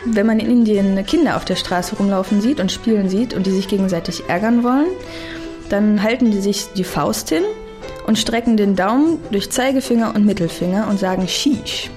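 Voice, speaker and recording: A woman's voice: mid-range voice, moderate pace, darkish tone, faint music behind. A woman who has traveled, explaining foreign customs to children in a plain, chatty way.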